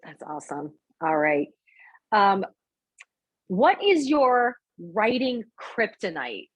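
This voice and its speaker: A woman's voice: medium pitch, very animated, slow, slightly rough. A middle-aged American woman hosting an interview in a casual, chatty style. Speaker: interview host.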